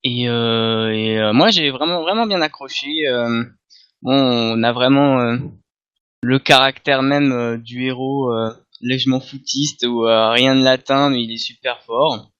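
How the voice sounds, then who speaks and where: A man's voice: medium pitch, fairly bright tone, clean tone. Slightly muffled, hesitant Frenchman on a podcast. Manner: hesitant, halting delivery. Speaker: podcast panelist. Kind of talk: casual group discussion.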